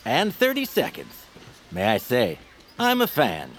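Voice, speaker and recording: Male, audio comedy: dashing, courteous quiz host, very animated mid-range voice, faint ambience. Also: mid-range voice, unhurried, a little hiss or hum. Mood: admiring. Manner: courteous, polished. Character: suave, polite, dashing male game show host. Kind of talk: audio comedy drama acting.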